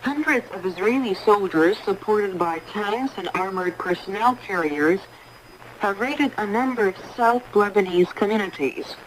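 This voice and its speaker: A woman reading a formal scripted radio news bulletin in a measured, impersonal way, on a 1980s shortwave broadcast. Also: medium pitch, slight background noise, rough recording. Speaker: newsreader.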